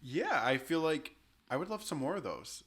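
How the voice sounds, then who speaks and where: A man's voice: on the high side, big pitch swings, moderate pace, fairly bright tone, breathy edge. Frank, self-deprecating young man co-host. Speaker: podcast co-host. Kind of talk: casual chat.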